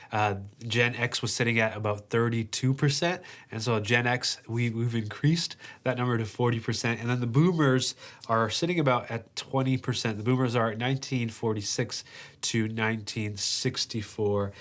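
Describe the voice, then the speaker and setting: A man's voice: mid-range voice, a bit bright. A congested, nasal-sounding man, a pastor with allergies, explaining survey results to his church in an informal, conversational way. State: stuffed up with allergies.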